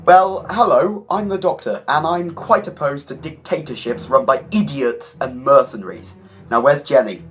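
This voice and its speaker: A man acting as a quirky, freshly regenerated alien time traveller in an audio drama, flippant, wry and quick-witted. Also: fairly high pitch, faint music behind, close to an everyday voice. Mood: wry, defiant.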